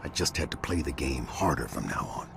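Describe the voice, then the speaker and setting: An actor playing a grim, defiant American football player, narrating a game story in a brooding, hard-boiled way. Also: male voice, a bass voice, big pitch swings, brisk, vocal fry, music in the background, full character voice. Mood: grim resolve. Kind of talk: scripted noir-like game narration.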